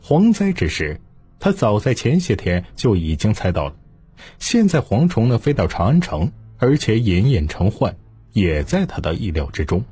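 A man's voice: lowish voice, very animated, moderate pace, mellow, slightly rough. A man narrating historical fiction in a dramatic scripted audiobook style. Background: music.

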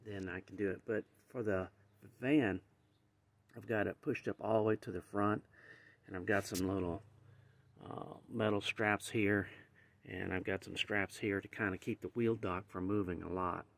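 A man's voice: lowish voice, steady tone, normal speed, creaky. An older man casually explaining as he demonstrates gear in a garage, rambling as he goes. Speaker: channel host. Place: garage with van, indoors and outdoors.

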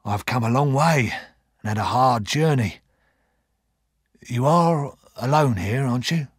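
A male reader plays a rough old convict in his sixties from a storybook. His voice is husky and worn, and he takes his words slowly, with humble, weary fondness. The sound is close and dry. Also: medium pitch, expressive, dry recording. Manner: slow, quiet, deliberate.

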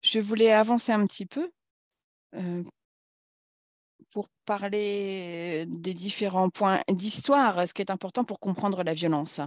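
A woman speaking at a conference in a formal political talk, halting and searching for words as she goes. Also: medium pitch, no room sound, clean studio sound.

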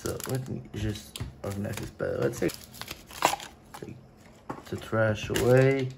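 A young man whose first language is Portuguese, chatting casually at home in his gaming room, with lots of filler words and sudden excited bursts. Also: lowish voice, a little slow, creaky. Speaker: card opening vlogger. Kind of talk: casual unboxing chat. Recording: faint crinkling and desk noise.

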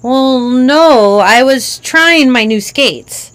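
A woman's voice: high-pitched, expressive, drawn-out words, clear, resonant tone, voice pushed up, heavily acted. A woman voicing her five-year-old self, an eager little girl telling how she fell. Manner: breathless, eager, tumbling run-on sentences.

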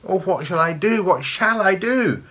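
A man's voice: high-pitched, lively intonation, measured pace, fairly bright tone, pitched above the performer's own voice, heavily acted. A man voicing a terrified young granddaughter in a storybook, anxious and pleading. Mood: terrified, desperate. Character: terrified young granddaughter fretting for her granny.

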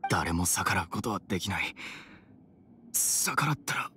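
A man's voice: deep voice, animated, measured pace, over music. A man playing a mild, sensible townsman and father in an anime game, explaining things earnestly. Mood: uneasy, explaining.

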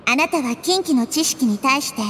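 A woman voicing a small, childlike goddess in a video game, wise and gentle, explaining things calmly and thoughtfully. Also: high-pitched, normal speed, slight background noise. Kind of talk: video game character acting.

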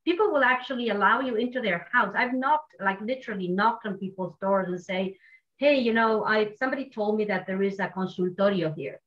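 A woman's voice: medium pitch, fairly quick. A woman in her sixties from Argentina, with an Argentine Spanish accent, speaking animatedly on an online panel with many fillers and restarts. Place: home office with wall maps. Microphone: video call. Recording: slightly muffled video call audio.

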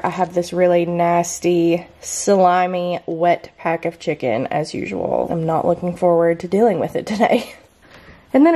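A young woman in her twenties chatting casually about her groceries in a home kitchen, bubbly and quick to laugh. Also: lowish voice, dark tone. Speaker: lifestyle vlogger. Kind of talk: casual grocery haul chat.

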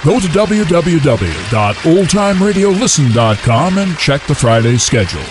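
A man's voice: on the high side, big pitch swings, normal speed, over music. A man reading scripted promotional announcements for a radio channel.

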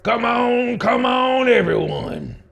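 Guy putting on a grumbly old southern man, goading, voice pushed up high, storybook reading. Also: sing-song, takes it slowly, gravelly, a bit bright, a little hiss or hum, full character voice. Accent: Southern American. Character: cranky, insistent old small-town man.

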